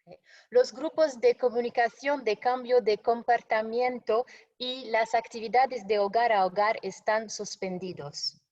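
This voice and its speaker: Slow, halting woman presenter, non-native speaker, fillers and self-corrections, muffled lo-fi sound. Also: fairly high pitch, steady tone, a little mellow. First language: not Spanish. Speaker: webinar presenter. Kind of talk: presenting slides in a webinar.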